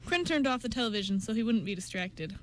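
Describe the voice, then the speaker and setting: A woman's voice: fairly high pitch, animated, fast talker. A woman reading scripted narration for an amateur radio play, with small stumbles.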